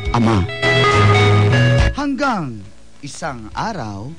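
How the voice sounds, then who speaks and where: A man's voice: mid-range voice, huge pitch swings, very slow. A man reading a listener's letter as a dramatic, scripted radio narration. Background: prominent music.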